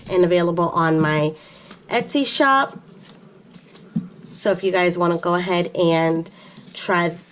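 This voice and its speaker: Slow-talking woman getting over a cold. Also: mid-range voice, slight background noise. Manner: chatty, filler-heavy, describing items one by one. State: recovering from illness, catching her breath. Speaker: planner sticker shop owner and vlogger. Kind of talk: casual show-and-tell product walkthrough.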